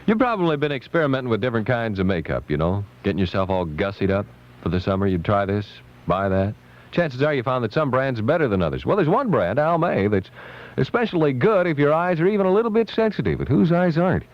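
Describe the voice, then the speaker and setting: An American man working as a radio DJ, playful and chatty, ad-libbing through lively patter and ad reads. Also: mid-range voice, fast. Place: radio studio. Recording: dull lo-fi 1970s AM radio aircheck.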